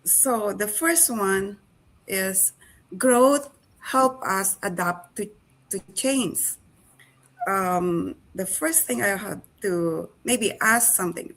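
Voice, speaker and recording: An older woman from the Philippines, a panelist who speaks in a slow, reflective way. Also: medium pitch, a bit bright, poor audio.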